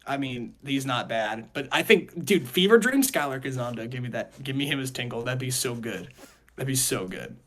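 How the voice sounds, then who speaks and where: A man hosting a casual group chat in an excitable, jokey, slangy way, often repeating phrases for emphasis. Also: medium pitch, normal speed. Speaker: podcast host.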